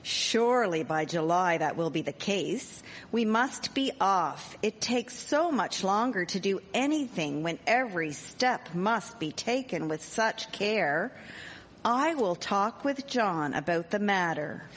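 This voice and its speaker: A genteel, highbrow society hostess of the 1870s, acted by a woman in a live radio play. Her formal speech sits at a middle pitch and echoes around a hall. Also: expressive, slow pace, a bit bright. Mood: gracious. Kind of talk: live radio drama acting.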